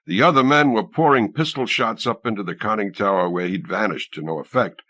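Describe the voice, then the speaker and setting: A man's voice: lowish voice, a little fast, bright, forceful tone, dry recording. A man narrating fiction as an audiobook reader, in a dramatic scripted style.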